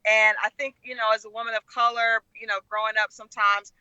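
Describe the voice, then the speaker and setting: A woman's voice: a little high, level tone, fast, bright, forceful tone, clear, resonant tone, dry recording, highs cut off. A middle-aged American woman from Oklahoma, telling personal stories conversationally as a guest in an interview. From Oklahoma, United States. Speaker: talk show guest, media personality and relationship expert.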